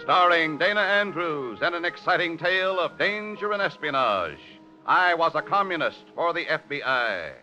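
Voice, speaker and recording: A man's voice: on the high side, expressive, moderate pace. A man announcing a 1950s radio drama in a dramatic, emphatic style. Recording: dull, lo-fi old radio recording. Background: brassy orchestral music.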